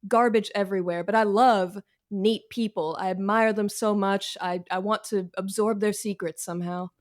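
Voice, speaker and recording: A woman author speaks as an interview guest in a conversational, informal way. Her pitch sits in the middle for a woman. She is candid about herself, with a wry, self-deprecating turn to her phrasing. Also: steady tone, normal speed. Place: cluttered room at home, on a video call. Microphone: video call.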